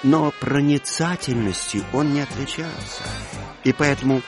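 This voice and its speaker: A man narrating a literary audio play with expressive storytelling. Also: mid-range voice, measured pace, over music.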